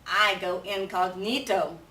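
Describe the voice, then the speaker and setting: A woman's voice: mid-range voice, fairly even intonation, slow, pushed and bright. A woman voicing a playful, boastful little boy kitten who poses as a Chihuahua hero, with dramatic exclamations and sing-song rhymes. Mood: swaggering. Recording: roomy, distant mic with faint noise.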